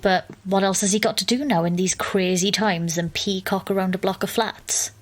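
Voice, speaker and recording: A woman's voice: mid-range voice, fairly even intonation, moderate pace, close to an everyday voice. A woman playing a wry, exasperated young woman, narrating and acting in an audio drama. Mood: wry, resigned. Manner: dry, wry, understated.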